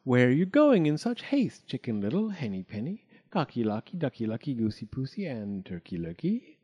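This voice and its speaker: Storybook vixen, man acting: sly, courteous, mellow, animated. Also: medium pitch, moderate pace, husky, lo-fi sound. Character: sly, courteous mother fox. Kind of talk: storybook character voice.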